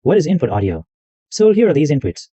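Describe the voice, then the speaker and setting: A man hosting a screen-recorded software tutorial, narrating every click step by step in loose, repetitive wording. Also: fairly high pitch, huge pitch swings, quick pace, husky, no echo. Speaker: tutorial host. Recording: dull lo-fi dry mic sound.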